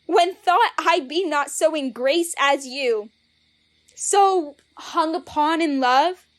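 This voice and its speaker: A woman's voice: sits high, slow pace. An actress playing a spurned, indignant young woman in a Shakespearean audio drama, declaiming verse theatrically. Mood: reproachful.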